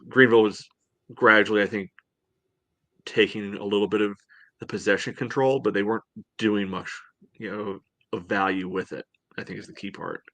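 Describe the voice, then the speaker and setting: Low-voiced man, dry close sound. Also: bright, forceful tone, no room sound. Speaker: soccer podcast co-host. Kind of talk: casual sports discussion.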